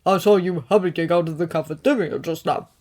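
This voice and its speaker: A woman voicing a big, gentle grown male hero who comforts his young student in a fatherly way. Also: fairly low pitch, fairly quick, close to an everyday voice.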